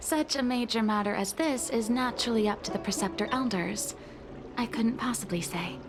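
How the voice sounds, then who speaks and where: A woman playing an earnest, dutiful maid in a video game, speaking formally and deferentially in measured phrases. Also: fairly high pitch, natural voice. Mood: concerned, restrained. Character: earnest, dutiful maid pleading for her young mistress. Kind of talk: dramatic video game voice acting. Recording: music behind the voice.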